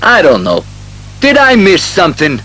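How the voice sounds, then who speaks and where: A man's voice: high-pitched, huge pitch swings, pushed and bright, full character voice. An actor playing a dim, eager, bumbling male police sergeant as puzzled comic relief in an audio drama. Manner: slow-witted, puzzled, chummy.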